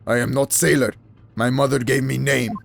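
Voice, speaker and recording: A man's voice: medium pitch, little pitch movement, moderate pace, creaky, soft background music. A man voicing a huge, blunt male sailor guard with a put-on Russian accent and clipped, broken English. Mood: stern.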